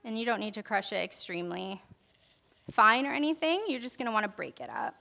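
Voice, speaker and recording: A woman's voice: mid-range voice, lively intonation, a little fast, vocal fry, sharp tone. A young woman giving an informal herbalism lesson in a church hall, reading from notes and then demonstrating, with many fillers and restarts. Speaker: herbalism class presenter. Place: church hall stage, large indoor room.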